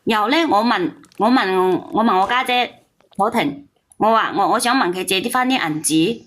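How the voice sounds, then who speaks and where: A woman's voice: medium pitch, moderate pace, a bit bright. A woman arguing on a live call-in, defensive and plaintive as she explains herself.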